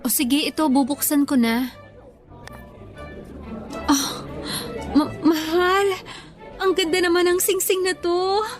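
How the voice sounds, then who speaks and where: A woman's voice: extremely high pitch, fairly even intonation, slow, long pauses. An actress playing a sweet, devoted young girlfriend in a Tagalog radio romance, tender and effusive. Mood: tender, delighted. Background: faint music.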